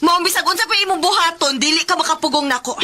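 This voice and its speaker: A bitter young wife in a Filipino radio drama, with a Cebuano accent. Her voice is extremely high and sharp, and she scolds and sneers with hard-hearted scorn. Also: quick pace. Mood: cold, resolute.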